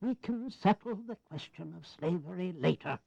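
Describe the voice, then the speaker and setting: An actor playing a shrewd, witty elder statesman in a historical audio drama, with a wry, deliberate delivery. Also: sits high, animated, creaky, darkish tone, slightly rough, no echo, dull audio, full character voice. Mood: firm, pragmatic. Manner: droll, theatrical, deliberate.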